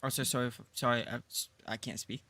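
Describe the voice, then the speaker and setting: Raspy, just-woken-up male streamer. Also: medium pitch, flat delivery, quick pace, sharp tone. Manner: rambling, self-deprecating, with frequent fillers and laughs. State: groggy, just woke up. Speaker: game streamer. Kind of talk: casual live-stream gameplay commentary.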